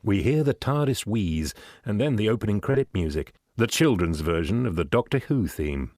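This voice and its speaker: A man's voice: lowish voice, sing-song, moderate pace, darkish tone, no echo, studio quality. A man giving scripted narration, reading out stage directions.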